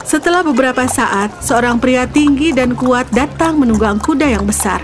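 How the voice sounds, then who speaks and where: Woman storyteller doing a kids fairy tale, voice on the high side, bit of music under it. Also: moderate pace. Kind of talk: scripted children's fairy tale narration. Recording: music behind the voice. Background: background music.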